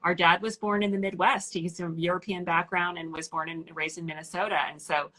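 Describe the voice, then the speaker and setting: A woman author talking casually in a live-stream interview over a video call, animated and full of "like" fillers. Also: fairly low pitch, brisk, low-quality recording. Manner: animated and talkative, many "like" fillers. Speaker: author and illustrator guest.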